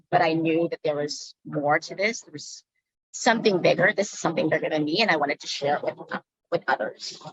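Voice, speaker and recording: A woman from the Philippines, a yoga instructor, telling her personal story in a halting way with frequent pauses. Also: on the low side, a bit bright, breathy edge, low-quality recording. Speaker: guest speaker, yoga instructor. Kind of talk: personal talk.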